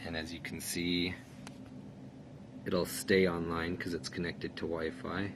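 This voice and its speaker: A man with a very low-pitched voice walks through a setup in a casual, plain way. He sounds like a product reviewer explaining each step, with clear background noise in the room. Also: level tone, measured pace. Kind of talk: casual step-by-step tutorial narration. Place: indoors in a room.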